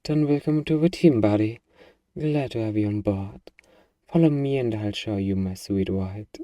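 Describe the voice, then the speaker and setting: A very dark, muffled voice in a dry space, a little way off the mic, plays as an acted role a hardened zombie apocalypse survivor. The delivery is sarcastic and swaggering, with a casual, defiant edge suited to an ASMR audio drama. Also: medium pitch, normal speed, dry recording, distant mic, lightly acted. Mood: teasing, wry.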